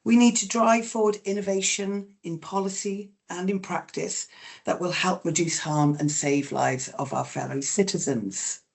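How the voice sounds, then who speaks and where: A woman's voice: lowish voice, normal speed, gravelly, a little mellow. A woman hosting a webinar, reading out a scripted introduction. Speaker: webinar host.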